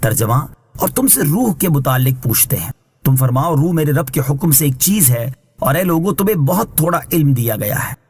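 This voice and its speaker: A man reading scripted Islamic translation and commentary in a measured, formal, instructive way, as a scholar would. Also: fairly high pitch, lively intonation, a little mellow, breathy edge. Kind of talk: scripted religious exegesis narration.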